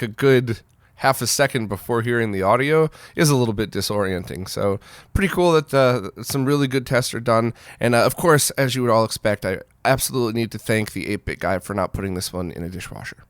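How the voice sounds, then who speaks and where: A man with a mid-pitched voice hosts a gaming news roundup. He talks casually and conversationally, offering his own opinions as he goes. The sound is dry, with very little room in it. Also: no echo.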